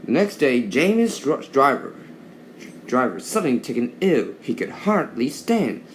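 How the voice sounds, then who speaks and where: Animated man reading a story aloud haltingly. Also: medium pitch, slight background noise. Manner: halting, stumbles and repeats words. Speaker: storybook narrator. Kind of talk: children's read-along narration.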